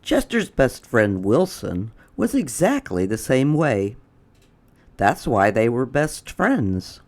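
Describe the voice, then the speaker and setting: A storyteller reads a children's picture book at an unhurried pace. The delivery is expressive and deliberate, with the pitch moving widely from phrase to phrase.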